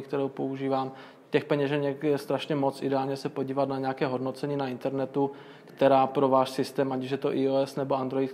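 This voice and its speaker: Flat-voiced man explaining step by step. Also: medium pitch, a little hiss or hum. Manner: flat, explanatory, many fillers and restarts. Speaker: guest, crypto magazine owner. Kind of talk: casual interview answers.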